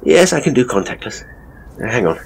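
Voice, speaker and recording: A man's voice: mid-range voice, sing-song, a little fast, gravelly, breathy edge, heavily acted, low-quality recording. A man playing a hapless, seedy ghost tour guide in an audio horror story, with a British accent and a pompous, florid, leering manner. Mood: pompous, ingratiating.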